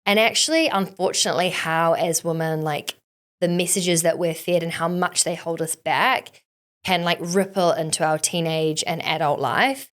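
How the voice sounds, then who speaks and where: A woman's voice: lowish voice, steady tone, moderate pace, fairly bright tone. A woman hosting a podcast, chatting casually and informally with emphasis and plenty of "like". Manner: informal, emphatic, uses "like" often. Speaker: podcast host. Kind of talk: casual interview chat.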